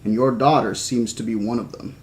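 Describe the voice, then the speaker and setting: A man's voice: medium pitch, fairly even intonation, brisk, lightly acted. A man reading as a confident, friendly field biologist. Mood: confident, reassuring.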